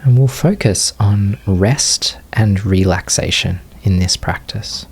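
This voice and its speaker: A man leading a guided meditation as a teacher, giving unscripted, gentle instruction in short phrases with long pauses. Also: lowish voice, slightly rough.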